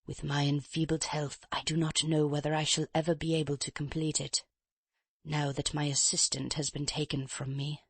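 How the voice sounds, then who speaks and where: A reader doing a frail old professor in an audiobook, a chain-smoking invalid with a mincing, overly courteous way of speaking. He sounds subdued and self-pitying, a little lower and softer than the narration. It is recorded close and dry. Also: little pitch movement, normal speed, slightly rough, no echo. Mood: fretful. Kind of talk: audiobook character reading.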